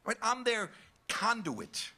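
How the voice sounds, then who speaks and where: An actor playing a pushy, self-important parole officer in a radio play, wheedling. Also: male voice, sits high, big pitch swings, takes it slowly, sharp tone, full character voice. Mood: officious. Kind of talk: stage play performed for radio.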